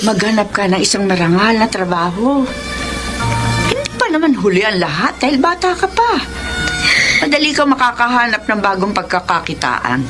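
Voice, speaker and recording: A woman's voice: mid-range voice, sing-song, normal speed, over music, lightly acted. An actress playing a protective, hot-tempered middle-aged Filipino mother in a radio drama, with emphatic, emotional delivery. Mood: protective, soothing.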